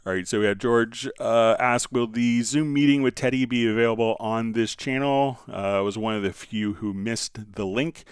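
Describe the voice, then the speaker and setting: A man hosting a software tutorial livestream, explaining step by step in an informal, halting way as he thinks aloud. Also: mid-range voice, steady tone, clear, resonant tone, no echo, studio quality. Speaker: host answering viewer questions on a software livestream.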